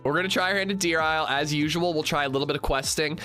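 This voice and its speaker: A man livestreaming and chatting casually with viewers, a bit congested, swinging between upbeat shout-outs and low mumbles. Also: very fast, soft background music.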